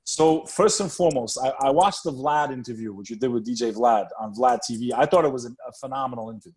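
A man's voice: medium pitch, fast talker, darkish tone. Streetwise man hosting a casual interview. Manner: streetwise, profane, repeats phrases. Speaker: podcast host.

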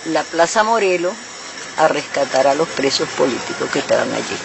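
A woman's voice: low voice, lively intonation, a little fast, vocal fry, noisy background. A woman giving personal testimony as an eyewitness, recalling events.

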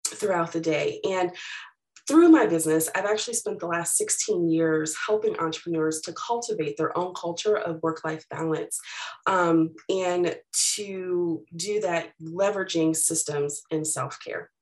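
Slightly raspy woman presenting casually. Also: fairly low pitch, unhurried, a little mellow, low-quality recording. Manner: casual and chatty, many fillers. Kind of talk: webinar presentation.

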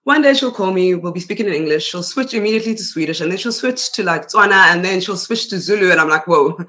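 Bright-voiced South African woman. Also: on the low side, expressive, quick pace. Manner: chatty, laughs often, many fillers. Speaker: interview guest. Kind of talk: casual conversational interview.

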